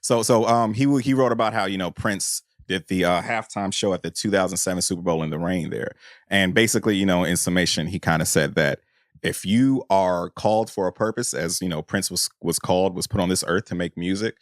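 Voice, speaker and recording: A man co-hosting a podcast, summing up a point in casual discussion with measured, halting delivery. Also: sits low, dry recording, clean studio sound. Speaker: podcast co-host.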